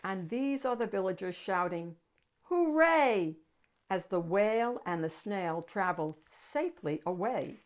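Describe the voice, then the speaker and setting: A woman's voice: on the low side, unhurried, hardly any pauses, a little mellow. A woman reading a rhyming children's picture book aloud expressively, stretching key words for emphasis.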